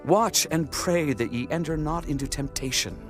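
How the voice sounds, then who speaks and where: An actor playing a calm, solemn male teacher who speaks in measured tones, in a dramatized scripture reading with music behind. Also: on the high side, natural voice.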